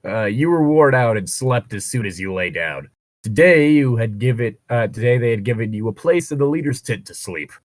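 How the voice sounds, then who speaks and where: A man's voice: mid-range voice, lively intonation, normal speed, dry recording. A man reading a story aloud as a co-host, slipping into casual, sarcastic, mock-exasperated asides. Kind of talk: read-aloud narration with casual joking commentary.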